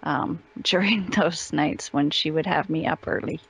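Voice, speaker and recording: A woman's voice: low-pitched, measured pace, vocal fry, fairly bright tone, breathy edge, low-quality recording. A woman answering as a guest in a casual interview, telling stories in an easygoing way.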